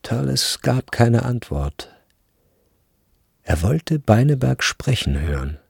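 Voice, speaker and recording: A man narrating a literary radio drama in German as a sober storyteller, in a measured, grave manner. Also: mid-range voice, very animated, gravelly, a little mellow, slightly rough, dry recording, clean studio sound, heavily acted. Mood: restrained, sober. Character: sober literary narrator of a tense boarding-school novel.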